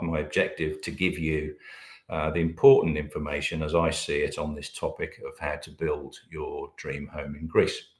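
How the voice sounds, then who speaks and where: A man's voice: very deep voice, reverberant. A middle-aged British man giving a business webinar presentation, talking in a hesitant way with many filler sounds. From Britain. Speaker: webinar presenter, managing director.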